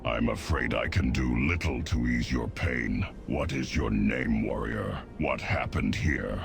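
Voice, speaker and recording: A man playing a stoic, weary half-orc wanderer in a fantasy game cutscene, solemn and weighty in delivery, with a gravelly voice. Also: a bass voice, measured pace, music in the background, exaggerated voice.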